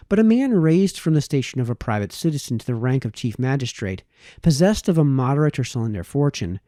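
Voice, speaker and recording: A man's voice: medium pitch, dark tone, dry recording, studio quality. A man reading a formal essay aloud in the style of an audiobook narrator. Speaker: audiobook reader.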